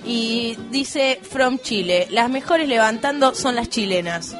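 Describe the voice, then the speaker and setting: A woman's voice: on the high side, expressive, slow, over music. A woman with an Argentine accent co-hosting a radio show, reading listener messages aloud and joking about her own clumsiness. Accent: Argentine Spanish. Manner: playful, self-mocking.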